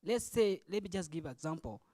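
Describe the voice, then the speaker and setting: A man's voice: sits high, brisk, vocal fry, dark tone, slight background noise. A man in his twenties with a South Sudanese accent, speaking off the cuff as a student leader, explaining in short phrases and questioning his listeners. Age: 20s. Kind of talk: impromptu forum speech.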